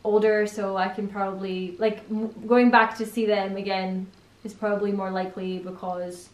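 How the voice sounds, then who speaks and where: A woman's voice: medium pitch, level tone, moderate pace. A young woman from Spain hosting a casual chat, talking with lots of fillers and laughing easily. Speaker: channel host. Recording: echoey room.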